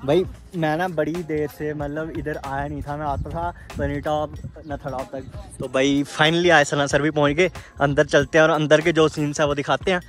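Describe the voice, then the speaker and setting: A man's voice: on the high side, level tone, normal speed, a bit bright. Casual male vlogger, music behind. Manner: informal, addresses viewers as bro. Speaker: travel vlogger. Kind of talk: casual vlog chat to camera.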